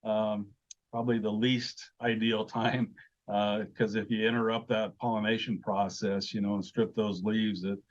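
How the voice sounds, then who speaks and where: A man giving measured, hesitant explanations as an agricultural expert in an informal interview. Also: lowish voice, level tone, muffled recording.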